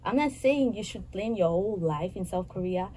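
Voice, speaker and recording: A woman in her twenties from Haiti, a guest answering interview questions in an earnest, halting way, often restarting her phrases. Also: medium pitch, lively intonation, warm tone, a little hiss or hum, low-quality recording. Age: 20s.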